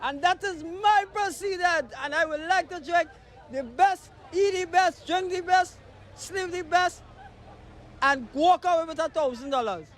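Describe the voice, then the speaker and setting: A man's voice: very high voice, unhurried, fairly bright tone, some chatter behind. A talkative man with a Trinidadian accent, chatting casually as a street interviewee and wandering off the question. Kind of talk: rambling casual chat on the street. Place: outdoors on a street.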